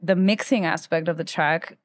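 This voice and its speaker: A woman's voice: fairly low pitch, steady tone, moderate pace, no echo. Chatty young woman from Ecuador. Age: late 20s to 30s. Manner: informal, peppers speech with "like". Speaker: musician, interview guest. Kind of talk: casual conversational storytelling.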